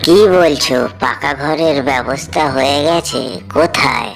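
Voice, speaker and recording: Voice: mid-range voice, a little slow, creaky, music in the background. A performer voicing a nagging, anxious female monkey wife and mother in a children's cartoon. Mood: worried, doubting. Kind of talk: cartoon dialogue.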